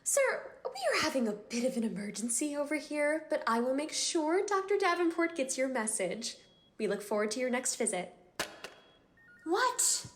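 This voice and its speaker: Brisk, high-voiced institute receptionist. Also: female voice, sing-song, slight background noise. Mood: curt, evasive. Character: receptionist at a sinister dream-therapy institute, brisk and evasive. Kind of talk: horror audio drama acting.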